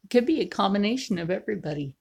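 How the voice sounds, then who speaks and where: A woman in her forties chatting casually to viewers while she draws, often sinking into a low whisper. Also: lively intonation, gravelly, darkish tone, breathy edge. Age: 40s. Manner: slow, frequently drops into a whisper. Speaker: video creator. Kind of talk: casual livestream chat while drawing.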